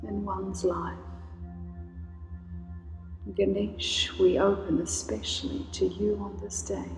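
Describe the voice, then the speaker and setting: A woman guides a meditation in a slow, soothing voice, calling gently on healing energies. Her tone stays even, with little rise or fall. Her voice carries a long, roomy reverb, with faint music underneath. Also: mid-range voice. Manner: slow, soothing, invocational delivery.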